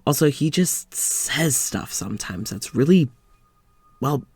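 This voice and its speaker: A man playing an uneasy, weary scientist in an audio drama, confiding to a recorder in a halting way. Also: medium pitch, animated, darkish tone, breathy edge, no echo. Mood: weary, troubled. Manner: hesitant, halting, sighing. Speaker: fiction podcast lead actor. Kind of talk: audio drama, confessional monologue.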